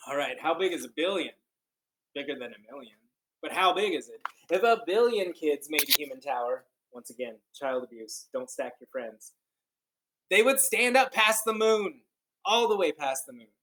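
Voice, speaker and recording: A man reading a children's book aloud like a teacher, expressive and playful, drawing out key words and dropping in casual joking asides. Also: a little high, normal speed, slight background noise, low-quality recording. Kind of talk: children's storybook read-aloud with casual commentary.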